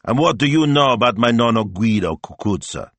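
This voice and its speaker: A man voicing a grumpy, theatrical, gruffly affectionate grandfather in an audiobook story, blustering with indignant questions. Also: medium pitch, lively intonation, brisk, a bit bright, dry recording, voice pushed up. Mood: indignant, teasing.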